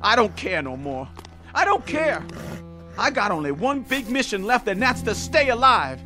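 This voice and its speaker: An actor playing a frightened, bitter young Confederate private in a radio drama, whiny and pleading, with a Southern US accent. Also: male voice, sits high, very animated, moderate pace, music in the background. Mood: bitter, scared.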